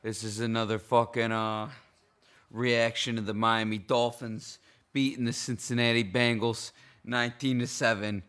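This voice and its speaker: A man in a hoarse, cracking voice, a little drunk, giving a profane, heated, rambling reaction to a football game. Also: medium pitch, little pitch movement, moderate pace, fairly bright tone. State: hoarse, intoxicated. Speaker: sports fan vlogger. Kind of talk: casual game reaction rant.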